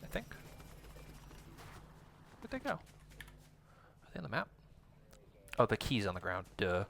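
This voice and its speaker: A man livestreaming a video game, talking with viewers in a calm, explanatory, educator-like way. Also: lowish voice, unhurried, gravelly, a little hiss or hum. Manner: chats with viewers while narrating play. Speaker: streamer playing a battle royale game. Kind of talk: casual livestream chat while gaming.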